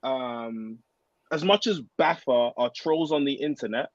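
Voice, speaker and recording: A man's voice: medium pitch, unhurried, no room sound, lo-fi sound. A man of thirty chatting casually as a podcast guest, in an informal, slangy and earnest way. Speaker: guest, American football player.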